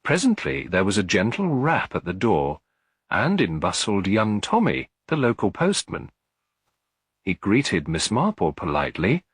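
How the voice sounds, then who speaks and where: A man narrates a classic detective story in a deep, husky voice, with lively rises and falls in pitch. The reading is scripted storytelling, recorded very dry and close. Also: no echo. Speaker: audiobook narrator.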